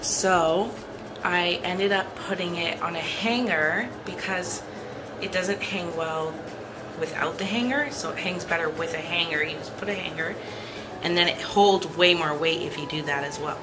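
A woman's voice: lowish voice, normal speed, poor audio. A woman chatting casually as she shows off her freshly cleaned bathroom at home, upbeat and repeating herself. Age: adult with a son. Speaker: cleaning vlogger. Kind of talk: casual show-and-tell vlog. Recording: music playing behind her voice.

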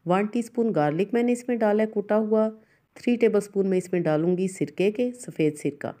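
Female recipe narrator, mid-range and mellow voice, instructive voice-over delivery. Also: mid-range voice, a little fast. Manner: instructive, addresses viewers directly. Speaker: cooking show host.

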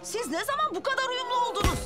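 A brash ten-year-old cartoon boy with a very high, bouncy voice. He is cocky, teasing and full of energy. Loud music runs behind him. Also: expressive, quick pace. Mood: defiant, brash. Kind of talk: animated adventure dubbing.

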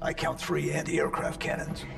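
A man voicing an armored super-soldier who speaks in terse, clipped, low-key lines. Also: flat delivery, moderate pace, gravelly, distant mic. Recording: game audio, loud music, off-mic.

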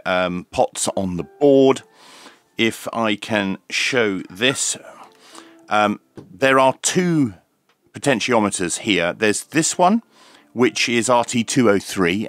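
Male product reviewer, lowish pitch, very unhurried, matter-of-fact step-by-step demo delivery, dry recording. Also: a bit bright, no room sound. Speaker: radio reviewer presenting a demonstration. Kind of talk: unscripted hands-on product demonstration.

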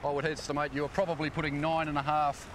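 Aussie fishing guide talking quick on the beach, bit high voice, practical tips. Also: male voice, flat delivery. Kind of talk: casual chat. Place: outdoors on a sandy beach by the sea. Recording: outdoor wind and water noise.

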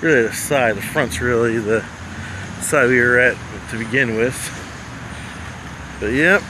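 Middle-voiced man in his forties, relaxed and chatty, talking to viewers over a phone mic outdoors while cars go by. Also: medium pitch, unhurried, background noise. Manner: chatty, wry asides to the viewer. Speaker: vlogger exploring abandoned places. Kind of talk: casual walking commentary. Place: outdoors around an empty building, later in a car. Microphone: handheld phone camera.

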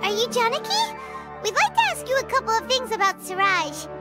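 Tiny fairy girl, squeaky and excitable, very high, bouncy, loud game music behind. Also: a little fast, full character voice. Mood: curious. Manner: talks about herself in third person. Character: tiny floating female fairy companion, excitable.

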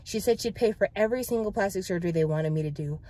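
Young female idol from a novel, read by a woman, frank, resilient, self-assured, medium pitch. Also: quick pace, mellow, close to an everyday voice. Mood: confessional. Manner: frank, jokey bravado. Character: candid, resilient young woman pop idol, brashly confident. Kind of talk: dramatic book read-aloud.

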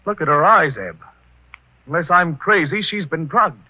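An actor playing a calm, suave married private detective, probing and soothing, in 1940s radio style on an old recording. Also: male voice, fairly high pitch, moderate pace, narrow, tinny audio, heavy background noise, lightly acted.

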